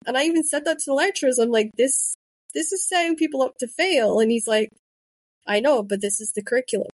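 A woman's voice: high voice, fast, no room sound. A woman in her thirties, a podcast host and author, chatting casually and telling stories with quoted asides. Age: 30s. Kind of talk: casual conversation.

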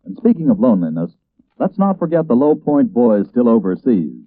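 A man's voice: medium pitch, sing-song, brisk, no echo. A man reading scripted copy as a 1940s radio announcer, emphatic and declamatory. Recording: old narrow-band radio broadcast.

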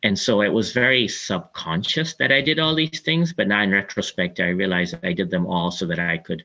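A man's voice: low voice, brisk, sharp tone, highs cut off. A man in his forties from Kenya, answering interview questions in a reflective, chatty way with many fillers. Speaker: interview guest.

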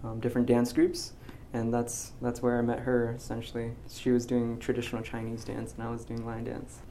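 A young man in his twenties, a graduating physics student, talking in a casual interview, soft-spoken and hesitant with many ums and restarts. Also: mid-range voice, completely flat delivery, fairly quick, a little hiss or hum. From United States. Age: 20s.

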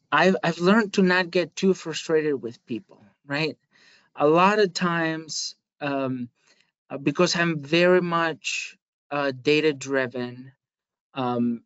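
A man with a Spanish accent speaks in a smooth, clear voice of middling pitch, working through his answers thoughtfully with frequent fillers and restarts. The audio is dry and a little dull. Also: fairly bright tone, no echo. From Spain. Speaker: guest, market research manager. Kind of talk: podcast interview.

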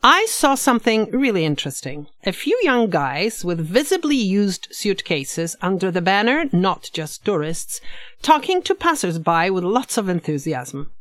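A woman hosting a podcast, reading a scripted introduction in a lively, expressive way. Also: medium pitch, unhurried, no room sound, studio quality. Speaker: podcast host. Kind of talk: scripted narration.

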